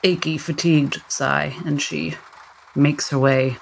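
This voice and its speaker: Creaky-voiced woman roleplaying. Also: deep voice, fairly even intonation, takes it slowly, slight background noise, poor audio. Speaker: player in a tabletop roleplaying game. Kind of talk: improvised roleplay and narration.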